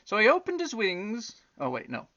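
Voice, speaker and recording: A woman's voice: medium pitch, huge pitch swings, normal speed, fairly bright tone, muffled recording. A woman reading a children's story aloud expressively, drawing out words with theatrical emphasis. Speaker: storybook reader. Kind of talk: expressive storytelling with chatty asides to young listeners.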